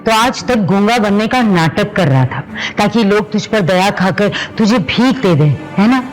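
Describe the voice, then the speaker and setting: A woman's voice: mid-range voice, lively intonation, moderate pace, faint music behind, lightly acted, low-quality recording. An actress playing a cruel, greedy stepmother in a Hindi audio drama, taunting and scornful in a melodramatic style. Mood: mocking. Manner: taunting, scornful, sharp-tongued.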